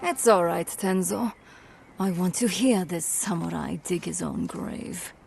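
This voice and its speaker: A woman's voice: lowish voice, measured pace, vocal fry, a little mellow, slight background noise. An actress playing a commanding, menacing female raider chief in a video game, sardonic and dry. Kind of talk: video game cutscene acting.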